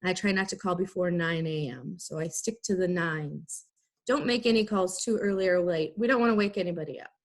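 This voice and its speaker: A woman teacher giving an instructional lesson, explaining things in clear, simple, step-by-step phrases. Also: on the low side, fairly quick. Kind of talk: instructional video lesson for students.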